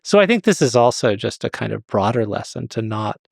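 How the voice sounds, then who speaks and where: A man's voice: fairly low pitch, sing-song, brisk, vocal fry, no room sound, clean studio sound. A man speaking as an expert guest in an interview, explaining hesitantly and often rephrasing himself.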